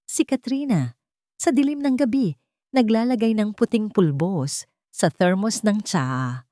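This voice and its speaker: A woman narrating a scripted drama as a storyteller, with measured, dramatic phrasing and pauses. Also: mid-range voice, expressive, dark tone, no room sound, clean studio sound.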